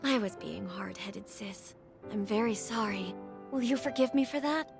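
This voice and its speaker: A woman's voice: medium pitch, moderate pace, gravelly, music in the background, lightly acted. A woman playing a haughty, overprotective noble sister in a video game cutscene, speaking in a formal, dramatic manner. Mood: apologetic, warm.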